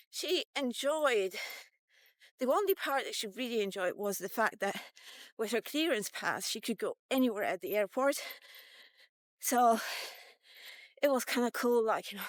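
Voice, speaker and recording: A woman in her fifties tells a personal tale in a casual, candid style, with some swearing. Her voice sits a little high and she takes her time, rambling from one thought to the next. The recording is dry and close, with very little room sound. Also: lively intonation, measured pace, long pauses, no room sound. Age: 50s. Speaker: vlogger. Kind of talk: casual personal storytelling, told in third person. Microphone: portable mic, walkie-talkie vlog.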